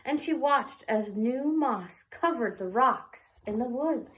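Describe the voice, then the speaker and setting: A woman reading a picture book aloud to young children in an expressive storytelling way, pausing for little asides. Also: fairly high pitch, low-quality recording. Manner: slow, animated, pausing for asides. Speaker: children's read-aloud presenter.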